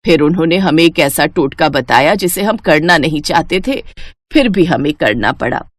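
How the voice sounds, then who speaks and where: A woman's voice: low voice, expressive, moderate pace, no echo, clean studio sound. A woman playing an earnest middle-aged mother-in-law who explains things matter-of-factly in a family cartoon story. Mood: confessing, relieved. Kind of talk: Hindi cartoon story voice acting.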